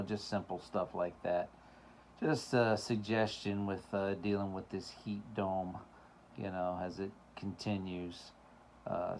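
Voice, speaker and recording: A man's voice: sits low, darkish tone, slight background noise. A middle-aged man hosting his own show, talking in a rambling, casual monologue full of fillers and laughs, his voice cracking at times. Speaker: show host.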